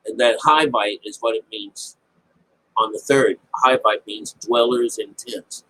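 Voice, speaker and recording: A man with a husky voice giving informal, unscripted Bible commentary, rambling, repeating phrases and checking in with his listeners. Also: on the low side, fairly even intonation, a little slow, pushed and bright, rough recording. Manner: rambling explanation with frequent check-ins like "all right?" and "okay?". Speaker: Bible teacher.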